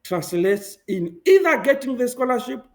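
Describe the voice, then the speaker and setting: A young man from Zambia, speaking as an interview guest, animated and emphatic, laughing often and repeating himself for emphasis. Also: very high-pitched, normal speed. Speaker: guest, public health professional. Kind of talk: conversational interview answers.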